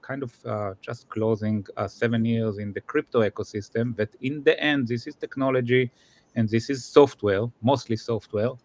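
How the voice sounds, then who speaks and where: A man from Israel with an Israeli accent, a business executive answering interview questions and pausing often on "uh". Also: medium pitch, steady tone, a little mellow. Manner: hesitant, many "uh" fillers. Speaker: guest, customer success executive.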